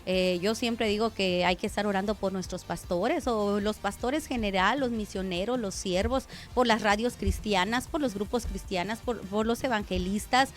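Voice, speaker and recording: A woman's voice: medium pitch, normal speed, few pauses, soft background music. A woman pastor giving a conversational radio sermon, often addressing listeners as brother.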